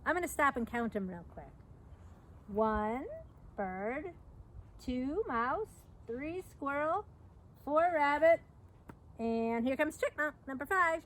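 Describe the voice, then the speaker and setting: A middle-aged woman reads a picture book aloud to young children in an expressive, sing-song storytelling manner with chatty asides. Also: fairly high pitch. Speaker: park educator hosting a children's storytime. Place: outdoors by a fence at a trailhead. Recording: outdoor recording with background noise.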